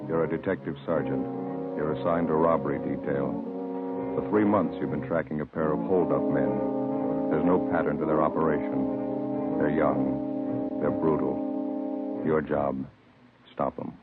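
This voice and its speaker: Grave, clipped crime-radio announcer, extremely low voice, tinny vintage broadcast under loud music. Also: male voice, steady tone, measured pace, pauses often. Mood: grave, ominous. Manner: solemn, clipped, portentous delivery. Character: grave, authoritative crime show announcer addressing the listener. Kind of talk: 1950s radio drama narration.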